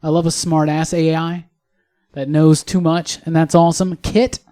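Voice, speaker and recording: A man's voice: fairly high pitch, flat delivery, normal speed, warm tone, clean tone. A man co-hosting a casual podcast, talking with enthusiasm and emphasis. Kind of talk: casual panel discussion. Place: podcast studio.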